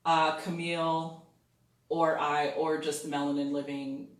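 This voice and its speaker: A woman's voice: sits low, level tone, a little slow. A woman co-hosting a talk show in casual, chatty speech. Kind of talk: casual chat. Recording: echoey room, distant mic, faint noise.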